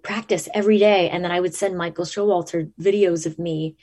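Rambling, animated actress, low voice. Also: female voice, a little fast. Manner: rambling, thinking aloud with many restarts; rises in pitch when emphatic. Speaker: actress, interview guest. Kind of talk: casual interview chat.

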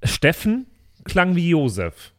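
A man's voice: fairly high pitch, wildly swooping pitch, takes it slowly, fairly bright tone. A man chatting casually, putting questions to the others in an interview-like way. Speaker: podcast member asking questions.

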